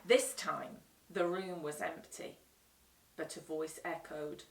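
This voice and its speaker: A woman reading a children's storybook aloud with expressive narration. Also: low voice, slow, recorded from a distance. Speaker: storytime reader. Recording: roomy echo, away from the mic.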